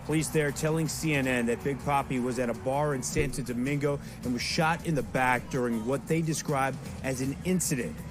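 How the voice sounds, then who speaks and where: Male news anchor over loud music. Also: mid-range voice, a little fast, few pauses. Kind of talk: broadcast news reading.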